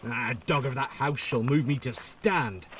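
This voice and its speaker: An actor playing a cocky, bawdy young servant in a Shakespearean audio play, with a boastful swagger. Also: male voice, medium pitch, a little slow, fairly bright tone, a little hiss or hum, natural voice.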